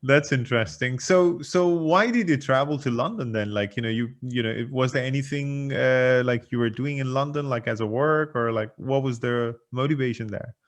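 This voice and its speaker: A man hosting a podcast, asking questions in a halting way full of restarts. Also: mid-range voice. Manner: hesitant, many fillers and restarts. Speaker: podcast host. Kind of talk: interview questions.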